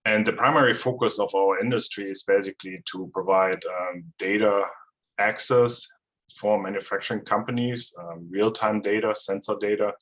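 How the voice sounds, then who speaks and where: A middle-aged man with a German accent and a deep, low voice walks through slides on a video call. He explains things in a relaxed, easygoing way, with plenty of fillers. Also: normal speed. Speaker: guest presenter. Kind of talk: casual technical presentation.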